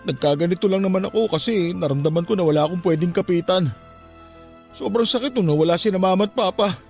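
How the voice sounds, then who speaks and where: A man's voice: high voice, quick pace, creaky, a little mellow, soft background music. A young man playing a stubborn, grieving orphaned nephew in a Tagalog radio drama, plaintive and emotional. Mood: grieving, anguished.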